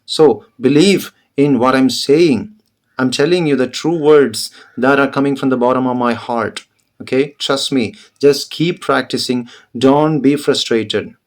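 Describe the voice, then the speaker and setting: A young adult man whose first language is Malayalam, giving an earnest, insistent motivational talk to English learners, repeating his points and pushing up his voice for emphasis. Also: a little slow. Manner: earnest, insistent and repetitive, rising in pitch when stressing points. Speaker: English teacher.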